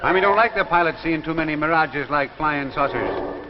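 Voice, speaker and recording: An actor playing a crazy, sly old small-town man in a 1950s drama, with a rural American accent and a folksy, rambling, excitable way of talking. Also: on the high side, moderate pace, natural voice. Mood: needling, sly. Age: older. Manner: folksy, rambling, cackling, repeats himself. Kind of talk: 1950s television drama acting. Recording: noisy, muffled 1950s soundtrack with long reverb.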